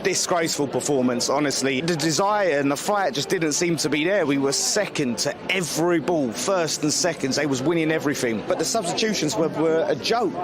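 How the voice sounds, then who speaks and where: A man's voice: fairly high pitch, animated, a little fast, hardly any pauses, a crowd in the background. Disappointed man criticizing his team. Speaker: football fan reviewing a result. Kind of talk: fan video verdict.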